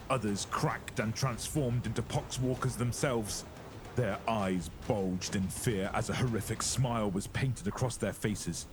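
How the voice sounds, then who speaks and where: A man narrating a horror audio drama in a grave, ominous, scripted dramatic storytelling style. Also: lowish voice.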